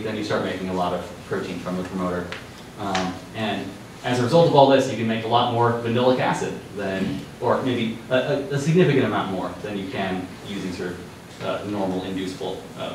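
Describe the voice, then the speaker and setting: A man's voice: lowish voice, a little fast, recorded from a distance, slight background noise. Young man talking in an echoey hall. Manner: loose and conversational, many ums and restarts. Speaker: presenter. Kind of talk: informal science news talk. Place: dark lecture room with a projector. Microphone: far room mic.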